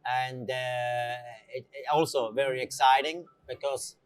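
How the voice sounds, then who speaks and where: A middle-aged man from Switzerland chatting as an interview guest, speaking in a halting, conversational way with frequent "you know" asides. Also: mid-range voice, flat delivery, clear, resonant tone, no echo. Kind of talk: casual interview answers.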